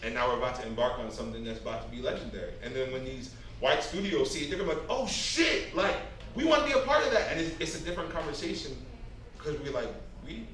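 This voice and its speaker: A young man chatting casually and reflectively, with an animated, slangy, conversational way of talking. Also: medium pitch, fast talker. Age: young adult. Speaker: theater performer in a panel discussion. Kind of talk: casual chat, personal reflection. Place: echoey room at a staged reading talkback. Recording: very echoey, distant, noisy room recording.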